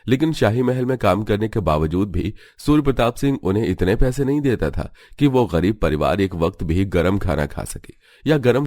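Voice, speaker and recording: A man's voice: sits low, darkish tone. A man narrating a scripted cartoon story as the storyteller.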